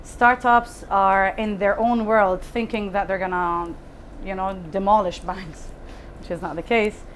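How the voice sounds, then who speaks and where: A woman's voice: mid-range voice, fairly even intonation, unhurried. A woman shares personal stories as a panel guest. She is candid and chatty, with a mid-range voice. There is faint background noise from a live event. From Lebanon. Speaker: panel guest, fintech founder. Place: live talk event before an audience.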